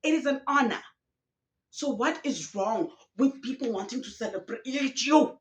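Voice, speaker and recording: A woman's voice: sits high, unhurried, echoey room. A young woman YouTuber, ranting passionately in casual commentary, recorded alone in a room. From South Africa. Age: young adult. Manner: passionate, ranting, mixing in local slang.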